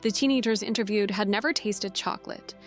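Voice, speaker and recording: A woman's voice: medium pitch, brisk, soft background music. A woman giving a scripted, factual read-aloud of a news article. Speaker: reader of quoted journalism.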